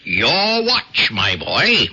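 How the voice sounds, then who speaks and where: An actor playing a pompous, zealous older newspaper boss with a husky voice and a bombastic, commanding manner in an old-time radio drama. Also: male voice, mid-range voice, wildly swooping pitch, extremely slow, pushed and bright, full character voice. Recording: muffled vintage radio broadcast.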